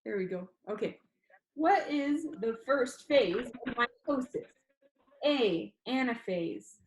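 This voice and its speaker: A woman's voice: on the high side, expressive, takes it slowly, roomy sound, muffled recording. A woman teacher speaking informally and expressively to an online class, as when reading a story aloud. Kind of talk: classroom read-aloud, first-person storytelling. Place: indoor room, online class call.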